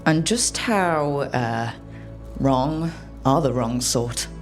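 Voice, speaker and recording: An actress playing a curious, teasing young adventurer in a fantasy video game, speaking in a casual, dry way. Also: female voice, extremely low pitch, expressive, very slow, soft background music, exaggerated voice.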